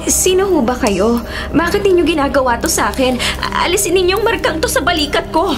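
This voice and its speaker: A woman's voice: very high-pitched, expressive, fairly quick, music in the background. An actress playing a frightened young woman in a radio drama, breathless, panicky and pleading as she faces a curse.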